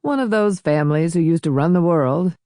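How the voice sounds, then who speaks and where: A woman's voice: on the low side, animated, a little fast, dark tone, no echo, studio quality, pitched above the performer's own voice, close to an everyday voice. Woman voicing a flippant rich weekend sailor. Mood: dry, dismissive. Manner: flippant, offhand. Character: wealthy, dismissive male weekend host out sailing.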